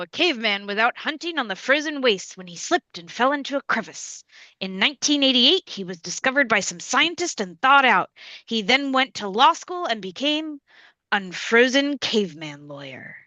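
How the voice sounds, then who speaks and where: A woman playing a mock television announcer, reading a scripted comedy intro and sponsor plugs with deadpan salesmanship. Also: on the high side, moderate pace, dry recording, low-quality recording. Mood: deadpan, announcing.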